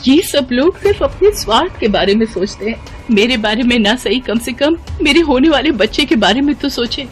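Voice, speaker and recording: Woman playing a plaintive, high-voiced young daughter-in-law. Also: expressive, moderate pace. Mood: despairing. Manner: plaintive, earnest. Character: mistreated, meek young pregnant daughter-in-law. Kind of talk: animated moral story acting.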